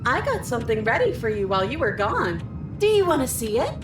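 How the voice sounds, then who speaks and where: A woman's voice: fairly high pitch, very animated, brisk, echoey room, recorded from a distance, music in the background. A woman playing a murderous, taunting princess in a horror game, gleeful and menacing. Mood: gleeful menace. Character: murderous, taunting princess who relishes violence.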